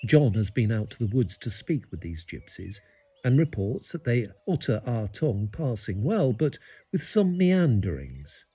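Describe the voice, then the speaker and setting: A fretful Georgian village parson from England in a period audio drama. His voice is mellow and husky. He speaks formally, in old-fashioned phrases, with lively rises and falls as he reads his letter. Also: medium pitch, unhurried. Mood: fretful, earnest. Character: fretful eighteenth-century English village parson writing letters.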